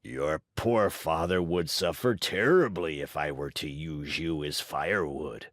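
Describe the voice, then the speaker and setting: A man voicing a gruff, bearded male puppet-show director with a hoarse, brusque way of talking. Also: a bass voice, unhurried, no room sound, clean studio sound, pitched below the performer's own voice.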